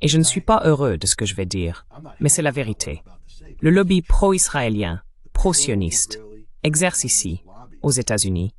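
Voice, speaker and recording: A man delivering dubbed political commentary as the translated voice of an interview guest. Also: medium pitch.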